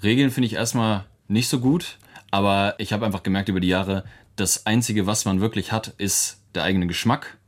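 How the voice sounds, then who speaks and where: A man's voice: lowish voice, steady tone, moderate pace. A young man, a musician and producer whose first language is English, answering interview questions in a loose, thoughtful way with many fillers. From Germany.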